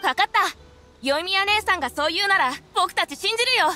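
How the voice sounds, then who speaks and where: Bright, very high-voiced little boy. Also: lively intonation, brisk, heavily acted. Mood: earnest. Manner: earnest, boyish, insistent. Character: stubborn, trusting, spirited little village boy. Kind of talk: Japanese anime game voice acting. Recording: music behind the voice.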